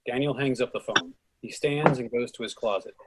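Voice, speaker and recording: A man's voice: medium pitch, fairly quick, heavy background noise. A man reading screenplay stage directions aloud as the narrator and moderator of a table read.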